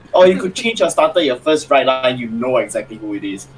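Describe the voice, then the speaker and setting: A man talking casually as a panelist on a card game stream, animated and quick to laugh, with many restarts. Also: mid-range voice, a crowd in the background, rough recording. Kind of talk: casual chat.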